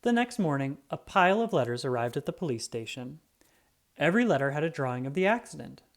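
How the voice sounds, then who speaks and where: A storybook narrator reading aloud for children in an expressive, dramatic way with drawn-out emphasis. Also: mid-range voice.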